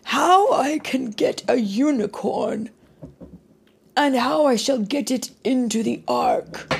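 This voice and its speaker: A woman's voice: sits high, lively intonation, slow, vocal fry, slightly rough, pitched above the performer's own voice, heavily acted. A woman voicing a worried, stern old man who builds an ark, in a storybook reading.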